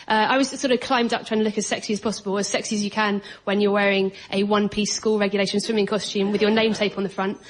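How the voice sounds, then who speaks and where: A woman telling self-deprecating comic stories as a panel guest, a little halting. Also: medium pitch, level tone. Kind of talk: comic anecdote and banter. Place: stage before a studio audience. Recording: live audience show, faint crowd noise.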